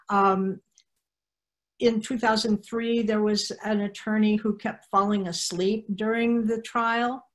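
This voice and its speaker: An older woman speaking informally as a panelist on a video call, often searching for her words. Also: medium pitch. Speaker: panelist, activist coordinator. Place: room at home, on a video call.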